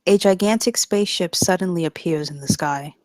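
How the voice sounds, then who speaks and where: A woman's voice: lowish voice, brisk, darkish tone, no echo. A woman narrator reading stage directions in a scripted table read.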